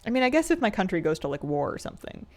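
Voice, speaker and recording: A woman in her thirties chatting casually while she plays a game, rambling and halting with restarts and soft laughs. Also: mid-range voice, big pitch swings. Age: 30s. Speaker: streamer. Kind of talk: casual chat while gaming.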